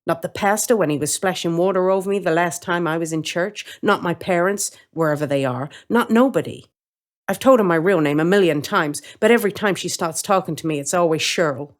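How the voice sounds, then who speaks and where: A woman's voice: on the low side, a little fast. A woman reading a first-person story in character, with a wry, streetwise, colloquial manner. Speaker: story reader.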